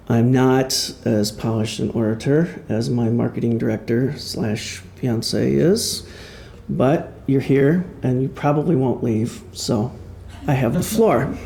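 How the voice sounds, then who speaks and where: A man gives an informal author talk in a room with faint background noise. His voice is mellow with a lot of creak. He speaks haltingly from notes, hesitant and self-deprecating. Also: medium pitch. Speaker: author giving a presentation. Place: room at a public presentation.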